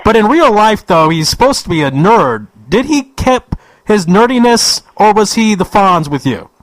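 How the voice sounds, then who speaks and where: A man's voice: high voice, sing-song, moderate pace, dry recording, poor audio. A man hosting a radio talk show, playful and upbeat as he interviews a guest. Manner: upbeat, playful, laughs easily. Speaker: radio host.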